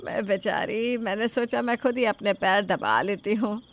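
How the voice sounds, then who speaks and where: A woman's voice: medium pitch, moderate pace, dry recording, lightly acted. A woman voicing a middle-aged mother-in-law in a moral story, scheming, sugary and theatrically self-pitying. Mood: self-pitying, sly. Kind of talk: acted Hindi moral-story dialogue.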